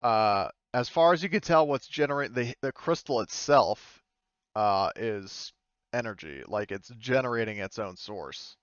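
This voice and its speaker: A dry, slightly muffled recording of a man with a smooth, clean voice. He is a game master improvising descriptions, tentatively rewording as he goes. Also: mid-range voice, animated, a little slow, a bit bright, dry recording. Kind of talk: improvised tabletop roleplay narration.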